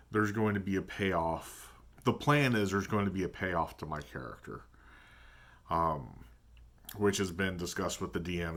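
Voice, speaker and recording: A man with a deep voice talking casually in a vlog about his tabletop gaming, thinking aloud and hesitating as he goes. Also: a bit bright. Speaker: tabletop game player. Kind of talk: casual reflective vlog talk.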